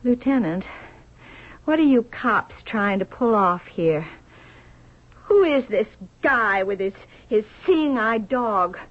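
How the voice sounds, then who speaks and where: A nervous, brassy widow in a vintage radio mystery. Her husky, fairly high voice swings about as she stammers defensively, heard through a narrow, phone-like old broadcast recording. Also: animated, takes it slowly. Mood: defiant, defensive. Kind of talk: old-time radio drama acting.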